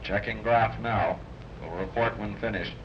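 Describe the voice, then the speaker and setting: An actor as a terse, curt radio operator. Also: male voice, on the low side, steady tone, unhurried, a little hiss or hum, lightly acted. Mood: reporting. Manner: clipped radio-speak. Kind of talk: 1930s radio acting. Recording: dull old radio recording.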